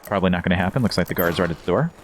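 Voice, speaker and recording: A man giving deadpan, mock-dignified commentary on his own video game stream in a hushed golf broadcast style. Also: low-pitched, racing, a little hiss or hum.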